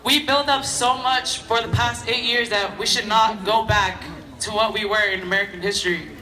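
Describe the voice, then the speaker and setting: A hoarse teenage boy who has lost his voice, giving a casual, impassioned speech at a school protest. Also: sits high, fairly even intonation, moderate pace, faint music behind. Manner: casual, lots of "like", builds to shouting. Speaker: student speaker at a protest. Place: outdoor school protest crowd. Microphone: phone camera in the crowd. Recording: far-off phone recording of an echoey crowd.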